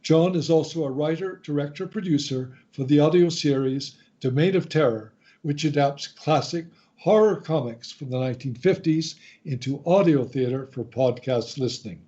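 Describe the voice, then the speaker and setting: A man presents a scripted radio show introduction as its host. His voice is soft-edged and a little higher than average, with a deliberate announcer's delivery. The recording sounds slightly muffled and lo-fi.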